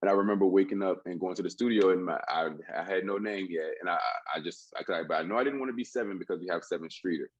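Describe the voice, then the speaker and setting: A man with a deep, husky voice, a rapper guest telling a rambling story with many restarts in a casual interview. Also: quick pace, hardly any pauses. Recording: dull dry lo-fi sound.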